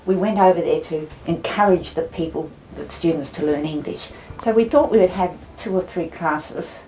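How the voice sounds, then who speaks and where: An older woman with a gravelly voice recalling volunteer English teaching. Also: on the low side, moderate pace, dark tone, a little hiss or hum, low-quality recording. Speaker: church volunteer. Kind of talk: interview testimony.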